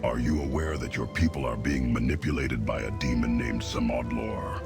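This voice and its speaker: An actor playing a gruff, stoic half-ogre warrior in a video game, terse and blunt. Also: male voice, a bass voice, normal speed. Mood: grim. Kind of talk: video game character acting. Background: music.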